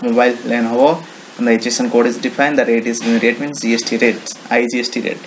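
Indian-accented man, halting tutorial talk, noisy recording. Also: medium pitch, fairly even intonation. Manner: halting, repetitive, non-native English phrasing. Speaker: software tutorial presenter. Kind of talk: informal screen-recorded software walkthrough. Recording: noisy home-made screen recording.

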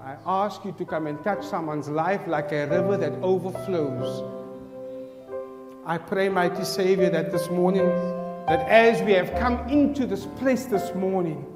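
A man in his thirties leading prayer and opening a sermon in a large church hall, loud and fervent, calling out to the congregation through a headset mic. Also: high voice, unhurried. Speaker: preacher leading worship. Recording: echoey church hall with live music.

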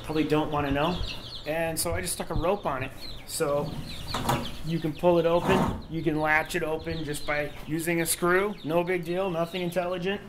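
A man's voice: a little high, little pitch movement, moderate pace. A man in his thirties casually narrating a how-to homestead vlog in a basement workshop, easygoing and full of ums. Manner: easygoing, rambling, many ums. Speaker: homestead vlogger. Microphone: action camera on the body. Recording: noisy basement with chickens clucking. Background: chicks cheeping.

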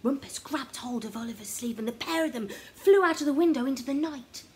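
Expressive woman storyteller, slightly high voice. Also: brisk. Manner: expressive, dramatic, hushed at times. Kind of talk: children's story narration. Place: room at home, indoors.

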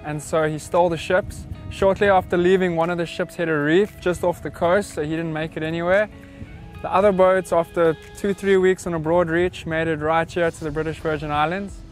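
A young man, a boat captain, casually telling a local legend outdoors on a sailboat deck, relaxed with frequent ums. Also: a little high, a little fast, soft background music. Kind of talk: casual storytelling.

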